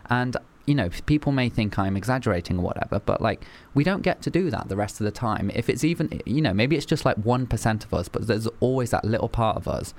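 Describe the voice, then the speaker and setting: Fast-talking man, eager. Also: medium pitch, expressive. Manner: eager, agreeable, fast and restless delivery. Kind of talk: casual chat.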